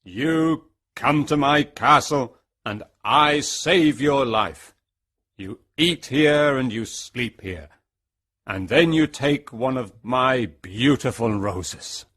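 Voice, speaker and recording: A reader voicing an angry male beast in a storybook, speaking slowly and threateningly. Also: medium pitch, expressive, a bit bright, voice pushed down. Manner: slow, heavy, threatening speech. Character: huge angry male beast, stern and menacing. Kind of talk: storybook reading.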